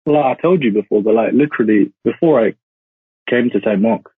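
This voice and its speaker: A man's voice: medium pitch, brisk, breathy edge, no room sound. A man in his twenties who grew up in India, chatting casually as a podcast guest over a call, rambling with many "like" fillers. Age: 20s. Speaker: podcast guest, law graduate. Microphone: calling in remotely. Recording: tinny, phone-like call audio.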